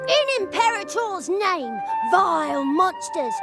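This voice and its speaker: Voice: very high-pitched, big pitch swings, takes it slowly, creaky, exaggerated voice. A performer voicing a small child who plays a bold knight in a video game, bombastic and declamatory. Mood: indignant, blustering. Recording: music behind the voice.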